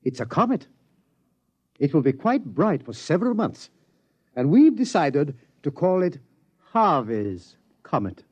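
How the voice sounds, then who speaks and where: An actor playing a timid, apologetic observatory scientist who stammers politely and formally, in 1950s radio drama style. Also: male voice, fairly high pitch, very animated, unhurried, darkish tone, breathy edge, exaggerated voice. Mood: earnest, flustered. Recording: dull vintage 1950s radio recording.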